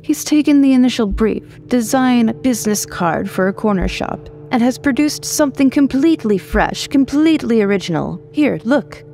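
A woman's voice: fairly high pitch, expressive, moderate pace, hardly any pauses, mellow, soft background music, voice pushed up. A woman voicing an enthusiastic, condescending male college teacher in an audio story, with emphatic delivery. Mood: impressed. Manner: gushing, emphatic.

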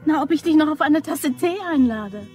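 A woman's voice: high voice, expressive, moderate pace, a little mellow, soft background music. An actress playing a guarded, teasing young office woman in a German crime radio play. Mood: matter-of-fact, coy.

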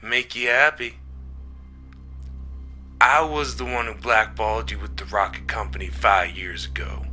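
A man's voice: lowish voice, fairly even intonation, a little slow, pauses often, sharp tone, soft background music. A man playing a bitter, mutinous spaceman in a story reading, sardonic and goading in manner. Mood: spiteful. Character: bitter, mutinous male spaceman, taunting and spiteful.

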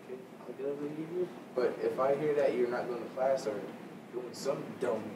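A man's voice: mid-range voice, brisk, close to an everyday voice. A young man playing a protective older brother who questions his sister in a probing, bossy way with casual slang. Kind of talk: amateur short film acting. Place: school library. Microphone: far camera. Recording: echoey, from far off, noisy.

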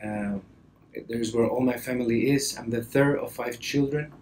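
A man's voice: mid-range voice, expressive, creaky, a little mellow, low-quality recording. Male priest, 30s, Costa Rican accent, mid-range voice, hesitant talk to camera, faint background noise. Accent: Costa Rican Spanish. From Costa Rica. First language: Spanish. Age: early 30s. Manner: hesitant, halting delivery with frequent pauses. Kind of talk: personal introduction to camera. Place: indoor office or library, seated at a desk.

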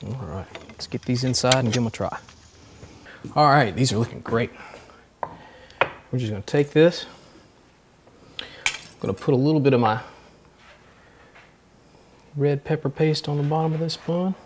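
Cooking host, man in his 40s, easygoing step-by-step delivery, mid-pitched, faint hiss behind him. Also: moderate pace, long pauses. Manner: relaxed step-by-step instruction. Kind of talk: casual cooking demonstration. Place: home kitchen, indoors.

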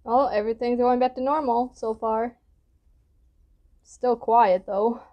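A woman's voice: a little high, fairly even intonation, unhurried, dull audio. A young woman giving casual reaction commentary as she hosts a video, recorded indoors in a small room. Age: young adult.

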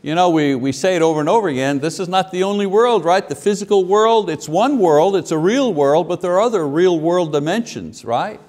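A man in his fifties preaching a sermon at a church pulpit, animated and asking the congregation questions. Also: sits high, brisk, few pauses. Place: church sanctuary at a wooden pulpit. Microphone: lapel mic. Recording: long hall reverb.

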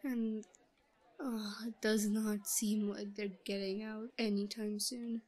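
Woman acting a slow, whispery, obsessive yandere schoolgirl. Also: level tone. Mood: scheming, hushed. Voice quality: breathy, often dropping to a whisper. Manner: hushed muttering to herself, halting. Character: shy, obsessive yandere schoolgirl, secretly scheming. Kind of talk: roleplay audio drama monologue. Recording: low-quality recording with faint crowd murmur.

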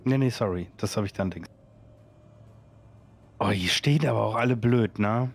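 A man playing a tabletop game, chatting casually and haltingly as he works out his moves. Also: on the low side, breathy edge.

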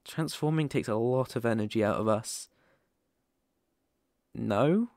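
A British man playing a gentle, protective lone werewolf in an ASMR roleplay, speaking haltingly and reassuringly into a close microphone. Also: medium pitch, no room sound, natural voice. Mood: soothing. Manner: soft-hearted, reassuring, halting and pausing. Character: gentle, protective male lone werewolf living in a forest cabin.

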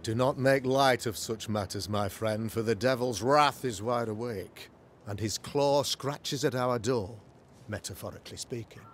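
An actor playing a pompous, pious, middle-aged preacher in a video game, with florid, oratorical delivery. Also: male voice, mid-range voice, unhurried, slight background noise, close to an everyday voice. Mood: grave, urgent. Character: pompous, pious preacher, learned man of the cloth. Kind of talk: theatrical video game acting, sermonizing.

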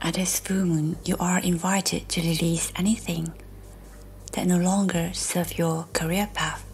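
A woman reading a scripted guided meditation in a soft, breathy, near-whispered voice, gentle and measured with long pauses. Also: lowish voice, flat delivery. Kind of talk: slow, soothing guided meditation, scripted narration. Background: faint music.

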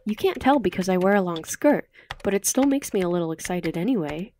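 A woman's voice: fairly low pitch, lively intonation, fairly quick, a little mellow. A woman voicing a candid, self-assured teenage schoolgirl with a confiding, casual manner in an audiobook. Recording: slightly noisy home recording.

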